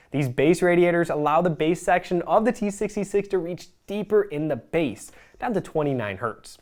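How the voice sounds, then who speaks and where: A presenter reading a scripted product review in a measured, explanatory way. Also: mid-range voice.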